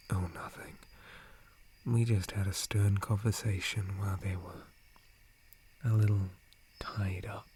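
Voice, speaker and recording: A man voicing a gentle, polite dryad guardian in a breathy, formal whisper for an ASMR roleplay. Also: lowish voice, slight background noise, lightly acted. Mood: mild, curious. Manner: formal, courteous, hesitant, softly hushed. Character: gentle, polite male dryad, forest guardian tree spirit.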